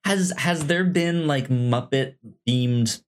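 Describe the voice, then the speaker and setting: A woman's voice: rumbling low, takes it slowly, faint music behind. A woman chatting casually as a podcast co-host, stammering and repeating syllables as she goes. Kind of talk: casual chat. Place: indoors, recorded in person.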